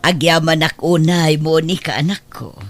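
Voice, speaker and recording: A woman's voice: low-pitched, normal speed, over loud music. An actress playing an affectionate older mother and grandmother in an Ilocano radio drama, speaking tenderly and expressively. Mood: grateful.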